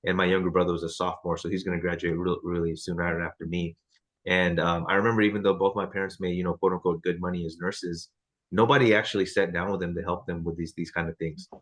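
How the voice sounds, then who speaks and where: A man's voice: low voice, level tone, quick pace, fairly bright tone. A man in his thirties leading a team training over a video call, loose and chatty with lots of fillers and restarts. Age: 30s. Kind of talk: casual video call chat.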